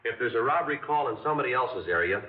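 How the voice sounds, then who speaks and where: An actor playing a steady, matter-of-fact police sergeant in a 1950s radio drama, speaking in a clipped, businesslike way. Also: male voice, mid-range voice, very fast, reverberant, lightly acted. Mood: briefing. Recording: tinny, distant old radio broadcast with music.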